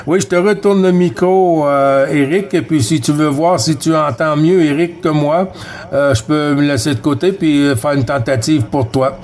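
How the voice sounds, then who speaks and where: Quebec french guy on cb radio, kinda high voice, friendly, chatty radio lingo. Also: moderate pace, darkish tone. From Quebec, Canada. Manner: friendly, courteous sign-offs, radio jargon. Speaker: amateur CB radio operator. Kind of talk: casual radio contact chat.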